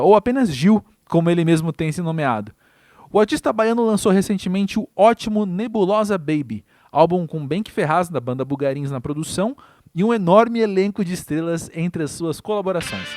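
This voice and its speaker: A man with a high voice hosts a music podcast, talking to his guest in a friendly, enthusiastic way. The recording is very dry, with no room sound at all. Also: darkish tone, no room sound. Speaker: podcast host. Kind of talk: podcast interview.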